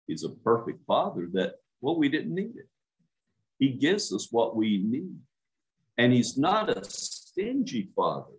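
An older male minister preaches slowly to a small home congregation, his pitch rising and falling widely as he leans on key words. He sounds earnest and emphatic, speaking into a webcam from a small room at home. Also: low-quality recording. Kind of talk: home church sermon.

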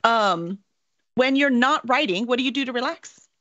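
A woman's voice: a little high, animated, normal speed, a bit bright, no echo, highs cut off. A middle-aged woman from the southern United States chatting casually as an interview host. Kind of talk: casual chat. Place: indoor home room with shelves. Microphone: microphone on boom arm, video call.

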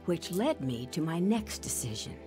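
A woman's voice: fairly low pitch, very animated, normal speed. A woman playing a grown woman reflectively and frankly telling her life story as radio drama narration. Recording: music under the voice.

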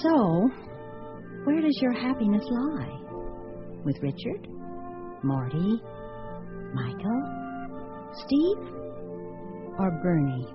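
A whimsical bride in a radio comedy, teasing and theatrical. Her voice swoops widely in pitch. The sound is muffled old radio with music underneath. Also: big pitch swings, very slow, long pauses. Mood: playful, pondering. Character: whimsical bride Laura weighing five suitors, affectionate and teasing. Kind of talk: radio comedy acting with monologue.

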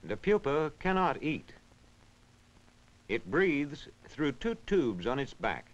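Vintage film narrator, swooping intonation. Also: male voice, a little high, very animated. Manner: measured, instructional, clearly enunciated. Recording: dull, band-limited vintage film soundtrack.

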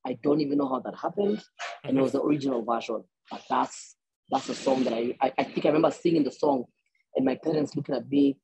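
A man's voice: medium pitch, lively intonation, fast talker, gravelly, background noise. A Kenyan man in his thirties, a musician, answering interview questions on a video call in a rambling, friendly way with many restarts. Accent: Kenyan English. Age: 30s. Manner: rambling, many restarts and fillers, laughs easily. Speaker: guest musician. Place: small room indoors. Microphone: earbuds on a video call.

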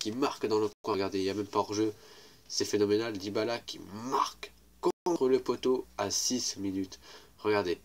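A young man with a cold and a congested, weak voice casually commenting on football highlights, rambling and repeating himself. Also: steady tone, normal speed. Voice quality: congested, little voice. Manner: rambling, repeats "regardez" and "voilà". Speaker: YouTuber commenting match highlights.

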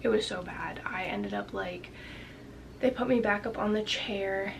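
A young woman tells a story to her webcam from a small bedroom. She sounds slightly raspy and talks in a loose, rambling way. She keeps saying "like" and often slips into a whisper. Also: medium pitch, measured pace, noisy background. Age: young adult. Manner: chatty, many "like" fillers, drops into whispers. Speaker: vlogger. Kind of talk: casual storytelling to camera.

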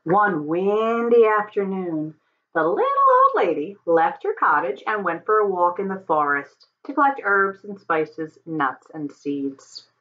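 A middle-aged woman reading a storybook aloud to children, with dramatic emphasis and deliberate pauses. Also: mid-range voice, very animated, muffled recording. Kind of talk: expressive picture-book read-aloud. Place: home office, indoors.

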